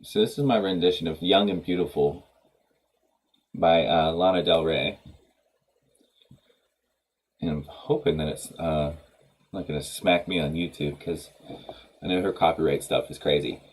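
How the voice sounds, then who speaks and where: Stuffy-nosed low-voiced streamer, husky. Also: male voice, a little slow, long pauses. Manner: rambling, many ums and restarts, laughs easily. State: congested from a cold. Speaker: livestream host of a music workshop. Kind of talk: casual livestream chat. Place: home studio room.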